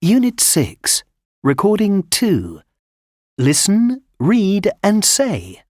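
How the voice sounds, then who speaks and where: A woman's voice: mid-range voice, wildly swooping pitch, extremely slow, darkish tone, no room sound, studio quality. A woman announcing unit titles and track numbers for a coursebook recording.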